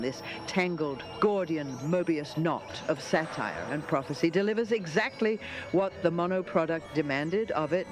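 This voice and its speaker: A man reading a scripted satirical review for a radio play. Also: high voice.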